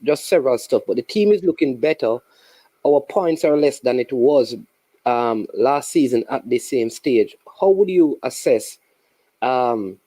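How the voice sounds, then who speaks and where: A man hosting a casual interview, friendly and halting, often repeating words. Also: medium pitch, mellow, no room sound, rough recording. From Jamaica. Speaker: host.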